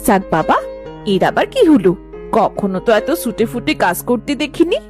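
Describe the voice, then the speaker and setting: A sharp-tongued, skeptical farmer's wife from a village cartoon, played by a woman. Her voice is rather high and animated, with a colloquial, pointed delivery. Music sits lightly behind her. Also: moderate pace. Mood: puzzled. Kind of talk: Bengali animated fairy-tale dialogue.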